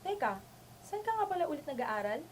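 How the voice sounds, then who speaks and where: A woman plays a frank, friendly young roommate in an amateur radio play. Her voice is fairly high and chatty, with slight noise behind it. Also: very animated, brisk. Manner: chatty, candid. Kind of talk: amateur radio drama acting.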